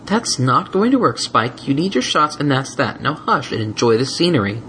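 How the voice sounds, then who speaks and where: A man's voice: mid-range voice, big pitch swings, normal speed, sharp tone, slight background noise, pitched above the performer's own voice. A man voicing a prim, coaxing female unicorn guardian in a fan fiction story, sweet but firmly insistent. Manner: coaxing, silken, primly insistent. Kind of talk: fan fiction audiobook character voice.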